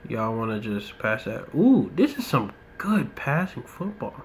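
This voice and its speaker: A young man from the United States giving relaxed commentary while playing a mobile football game, muttering asides and ranting now and then. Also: mid-range voice, a little slow, slight background noise. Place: indoor room.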